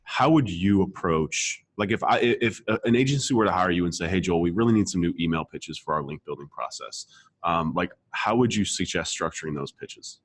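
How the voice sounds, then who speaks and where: A man's voice: low voice, lively intonation, brisk, breathy edge. A man hosting an interview show, talking in a casual, conversational way.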